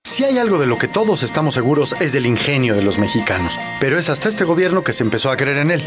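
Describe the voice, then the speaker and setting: A man reads a promotional voice-over, keeping it upbeat with a lively, animated pitch. Plucked guitar music runs under his voice. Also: fast. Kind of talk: government ad voice-over.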